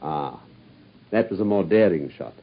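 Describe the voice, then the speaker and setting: Self-assured Victorian detective lecturing, husky tone, lively pitch, dull old radio sound. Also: male voice, normal speed, exaggerated voice. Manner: crisp, confident, lecturing. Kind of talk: radio drama.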